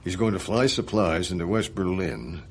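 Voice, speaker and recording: Shrewd spy chief, fairly low male voice, knowing and probing, radio drama dialogue, faint ambience. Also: lively intonation, moderate pace, slight background noise. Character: Allen Dulles, shrewd, probing senior male spymaster.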